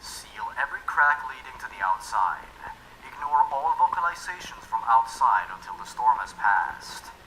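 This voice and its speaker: A man voicing a relentless machine emergency broadcast, reading official warnings in a flat, breathy whisper. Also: mid-range voice, moderate pace, a little hiss or hum, low-quality recording. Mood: ominous, commanding.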